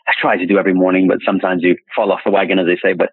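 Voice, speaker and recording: A man's voice: deep voice, level tone, rapid-fire, breathy edge, no echo. A man being interviewed as a classic car dealer, rambling with many restarts and repeated words. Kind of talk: casual interview chat. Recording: tinny phone-line audio, dry.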